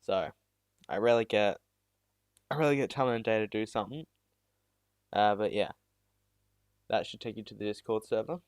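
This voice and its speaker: A man's voice: on the low side, brisk, pauses often, no echo, studio quality. A teenage boy from South Australia, chatting casually with viewers on a live stream, rambling and making fun of himself. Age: teens. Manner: rambling and self-deprecating, with many restarts. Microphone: new USB mic.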